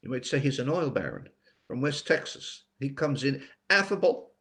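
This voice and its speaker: A man's voice: mid-range voice, very animated, moderate pace, vocal fry, fairly bright tone. An older man from Newfoundland, an author chatting casually as an interview guest in a reflective, meandering way. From Newfoundland, Canada. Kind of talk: casual interview talk about writing.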